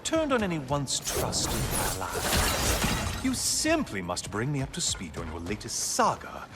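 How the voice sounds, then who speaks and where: An actor playing a smug, theatrical sun god in a video game, taunting with drawn-out sarcasm. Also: male voice, mid-range voice, huge pitch swings, loud music behind the voice. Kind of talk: dramatic video game villain acting.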